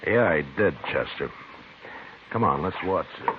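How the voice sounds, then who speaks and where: An American actor playing a calm, wry, authoritative frontier marshal in an old radio western. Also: male voice, low voice, unhurried. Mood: calm, guarded. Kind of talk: 1950s radio western acting. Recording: noisy, tinny old radio recording.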